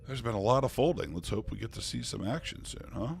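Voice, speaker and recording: A man's voice: lowish voice, expressive, fast, gravelly, slight background noise. A man chatting casually on a live poker stream, thanking viewers and joking in character as a starship commander. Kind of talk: casual live-stream chat while playing online poker.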